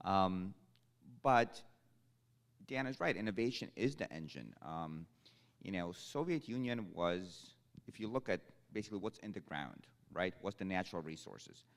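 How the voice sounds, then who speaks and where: Man, animated panelist. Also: deep voice, normal speed. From Ukraine. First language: Russian. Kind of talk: panel discussion.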